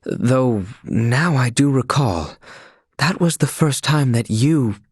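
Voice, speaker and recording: Casting: a loyal young royal knight for a game, expressive range, soft husky edge, dry close sound, ornate old-fashioned diction. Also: male voice, medium pitch, slow, dry recording, full character voice. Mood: tender nostalgia. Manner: formal, archaic, flowery courtly diction. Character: devoted young male knight serving a royal, earnest and courtly. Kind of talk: video game voice acting.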